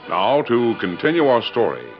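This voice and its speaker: A man's voice: medium pitch, big pitch swings, normal speed, over loud music. A man narrating as a radio announcer in scripted 1940s style, on a muffled old recording.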